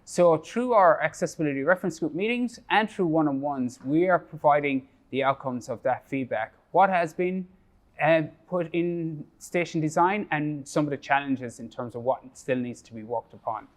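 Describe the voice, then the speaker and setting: Man reading a measured project update. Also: a little high. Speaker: project staff presenter. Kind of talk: scripted presentation.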